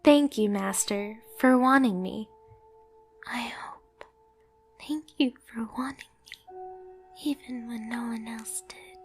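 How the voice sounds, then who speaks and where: A woman's voice: a little high, takes it slowly, long pauses, faint music behind. A woman playing a timid, clumsy young catgirl pet who is eager to please, speaking in a meek, hesitant, apologetic way in an anime-style ASMR roleplay. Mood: sad, subdued, grateful.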